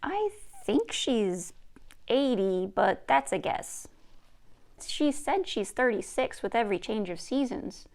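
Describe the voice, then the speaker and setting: An easygoing young possum boy from a children's storybook, acted by a woman reader. He speaks casually and quietly, with a highish, creaky tone. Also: big pitch swings, slow, full character voice. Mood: unsure. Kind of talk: children's book read-aloud.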